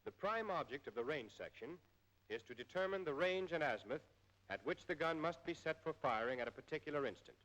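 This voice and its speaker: An American man reading scripted instructional narration in a formal, measured way, as in an old military training film. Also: fairly high pitch, expressive, fairly bright tone, rough recording.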